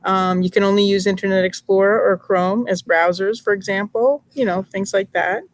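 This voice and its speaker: A woman's voice: mid-range voice, fairly even intonation, moderate pace, no room sound. Thoughtful woman on a laptop call. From Brooklyn, New York. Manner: thoughtful, hesitant, many fillers. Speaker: MBA student and teacher. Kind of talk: casual video-call chat. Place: library. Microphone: laptop mic on a video call.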